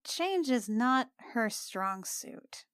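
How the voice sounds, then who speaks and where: A woman's voice: a little high, big pitch swings, very slow, fairly bright tone, clear, resonant tone, dry recording, clean studio sound. A woman playing a tabletop roleplaying game, chatting casually and narrating what her character does.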